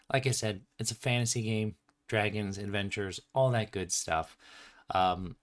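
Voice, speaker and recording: A man giving casual, unscripted commentary as a game designer on a livestream, chatty and explanatory, slightly sniffly from a cold. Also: mid-range voice.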